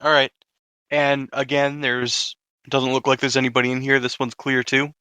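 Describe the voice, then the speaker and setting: A man's voice: medium pitch, level tone, normal speed, sharp tone, smooth, no echo. A man taking part in a tabletop game as a player, chatting casually about his moves.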